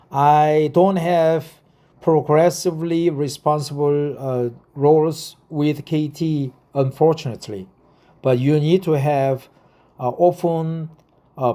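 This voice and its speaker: Slow, mellow Korean-accented man in his fifties. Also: on the high side. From South Korea. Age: 50s. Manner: halting, deliberate, repeats phrases. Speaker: vlogger explaining a career path. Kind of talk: reading aloud from notes with casual personal asides.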